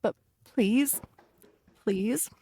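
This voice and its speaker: Giggly woman with a bright, high voice. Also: very slow, slightly rough. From Finland. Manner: playful, giggly, swears freely. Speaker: podcast co-host. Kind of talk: casual chat.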